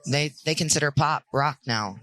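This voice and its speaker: A woman's voice: low-pitched, moderate pace, fairly bright tone. A woman in her forties hosting a podcast, interviewing artists at a concert venue in an upbeat, blunt, teasing way. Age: 40s. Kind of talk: casual interview.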